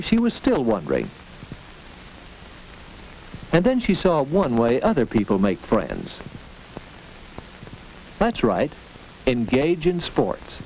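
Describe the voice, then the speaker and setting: An American man narrating a scripted 1940s educational film in a measured, instructive storytelling manner, on an old film soundtrack. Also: medium pitch. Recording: dull, noisy, clicking 1940s film soundtrack.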